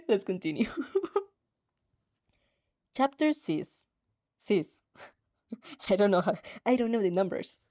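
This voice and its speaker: A woman with a mellow, relaxed voice reads fan fiction aloud in a casual way. She is giggly, trips over words and drops in her own reactions. The recording sounds a little dull and lo-fi. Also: medium pitch, slow pace, long pauses. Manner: giggly, stumbles over words while reading.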